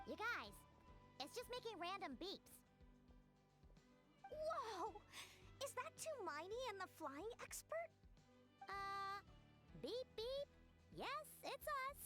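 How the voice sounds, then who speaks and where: A woman voicing a tiny, chirpy, cheeky floating companion sprite in a video game, squeaky, childlike and exclamatory. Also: big pitch swings, takes it slowly, long pauses, full character voice. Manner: exclamatory, bubbly. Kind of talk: video game character acting. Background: game music.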